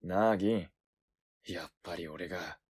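A man voicing a gruff, blunt young accomplice in a voice drama, speaking tersely. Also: sits low, unhurried, a little mellow. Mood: subdued, resigned. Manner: terse, rough casual speech. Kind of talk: Japanese voice drama acting.

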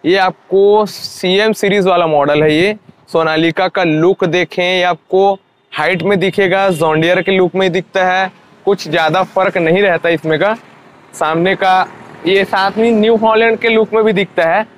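A man's voice: sits high, fairly even intonation, measured pace. A young man from India, giving an informal, repetitive and explanatory sales walkaround as a vlogger. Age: young adult. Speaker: vlogger presenting used tractors. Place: outdoors at a roadside tractor dealership.

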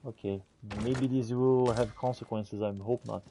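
A man giving casual in-character game commentary and reading notes aloud, halting and muttering as he goes. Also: mid-range voice, steady tone, mellow, a little hiss or hum. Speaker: gamer roleplaying and narrating a playthrough.

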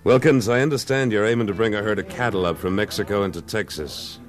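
Man acting as tough Western cattleman, very low voice, American accent, terse and cool, old radio drama, faint music. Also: fast, lightly acted. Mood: cool, confident. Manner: terse, cool, self-assured. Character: tough, laconic cattleman gunslinger, a former cavalryman.

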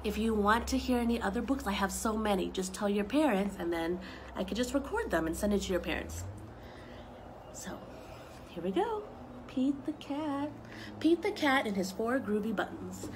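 A woman in her thirties reading a picture book aloud to young children in an animated way, with chatty asides and questions, recorded outdoors on a deck at about arm's length. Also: medium pitch, moderate pace. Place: outdoor wooden deck with trees around. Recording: noisy outdoor home video. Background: clear outdoor ambient noise.

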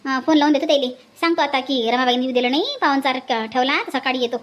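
A woman's voice: sits high, fast, voice pushed down, close to an everyday voice. A woman voicing a homely, practical middle-aged village housewife in a comic cartoon, chatty and fussing, with a Varhadi Marathi accent. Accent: Varhadi Marathi from Vidarbha.

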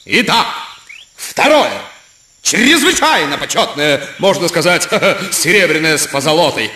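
A man playing an excitable, grandiose cartoon animal announcer, pompous and drawn out like a showman. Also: on the high side, unhurried. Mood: grand, festive. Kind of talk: cartoon voice acting. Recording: roomy echo, music behind.

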